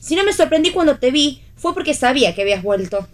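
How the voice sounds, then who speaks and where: Romy, a high-voiced, bossy Argentine woman. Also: sing-song, fast talker. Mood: angry, insistent. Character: Romy, bossy, defiant, quick-tongued woman stung by love. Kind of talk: read radio theatre.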